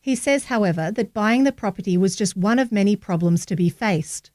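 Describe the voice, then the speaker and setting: A woman's voice: medium pitch, a little fast, darkish tone, no room sound, studio quality. A woman reading a local newspaper article aloud as scripted narration.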